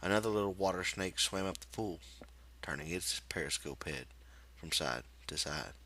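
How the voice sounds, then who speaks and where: A man reading literary fiction aloud as an audiobook narrator, with measured, deliberate phrasing. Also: sits low, expressive, sharp tone, slight background noise. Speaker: audiobook reader.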